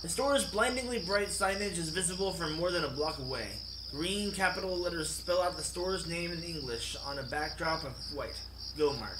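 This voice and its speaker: A man hosting a let's play, reading a visual novel aloud as expressive narration and occasionally rereading a line. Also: on the high side, normal speed, background noise. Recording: echoey room, music behind. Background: game music.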